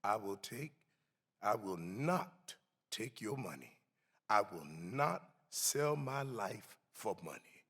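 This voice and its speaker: A man voicing a humble, good-natured poor man in a dramatic historical reading, speaking with quiet, earnest firmness. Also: on the low side, sing-song, breathy edge, voice pushed down, full character voice. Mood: solemn, firm. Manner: quiet, earnest, unhurried refusal. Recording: long hall reverb.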